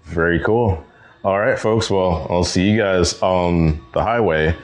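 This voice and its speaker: A man's voice: low-pitched, measured pace. A middle-aged American man chatting casually as a vlog host in a laid-back, folksy way. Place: covered truck stop lot, then small shop. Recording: noisy location sound, vehicle ambience.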